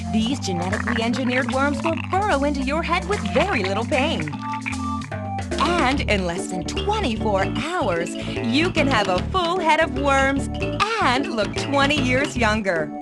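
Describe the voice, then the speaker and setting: A woman playing a polished, persuasive announcer in a game's parody infomercial. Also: high-pitched. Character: sincere-sounding female infomercial announcer.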